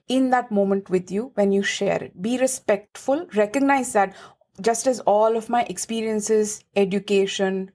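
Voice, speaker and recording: A woman with an Indian accent, a lawyer and advisor, giving earnest, emphatic answers as a podcast guest. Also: mid-range voice. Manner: earnest, emphatic, lively explaining. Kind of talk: podcast interview.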